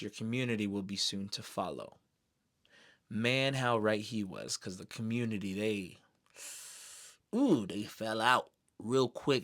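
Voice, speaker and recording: A man giving casual, excited fan commentary in a slangy, expressive way, throwing in exclamations. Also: on the low side. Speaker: streamer reviewing an animated series.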